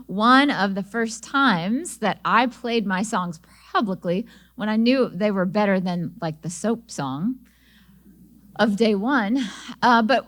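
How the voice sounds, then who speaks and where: A middle-aged woman, a singer-songwriter, giving an inspirational talk and telling personal stories, with small restarts as she talks. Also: medium pitch. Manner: warm storytelling with small restarts.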